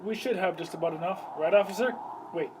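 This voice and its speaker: Man acting high-voiced stern, protective husband. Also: quick pace, darkish tone, slight background noise, natural voice. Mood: worried. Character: John, stern, protective husband on a wilderness trip. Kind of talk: amateur student radio play acting.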